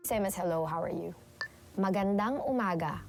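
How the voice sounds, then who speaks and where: A woman's voice: mid-range voice, normal speed, faint music behind. A woman language tutor in an instructional video, patiently explaining phrases and repeating them.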